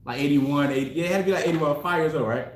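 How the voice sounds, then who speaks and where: A man in his forties, a relationship coach, telling stories in a casual, slangy, animated way with frequent laughs. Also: fairly high pitch, racing, slight background noise. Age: 40s. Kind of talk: casual discussion. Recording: echoey room.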